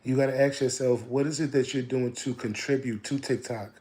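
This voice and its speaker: Man, a bit hoarse, mellow low tone, repetitive motivational coach. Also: steady tone, a little fast. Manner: preachy, repeats lines, calls listeners "family". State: slightly hoarse. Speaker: digital marketing coach. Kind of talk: casual motivational live stream.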